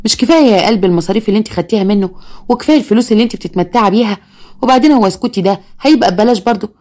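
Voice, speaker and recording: A woman's voice: medium pitch, rapid-fire, mellow, close to an everyday voice. Woman telling of a smug scheming Egyptian man. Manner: mocking, smug, sweet-talking.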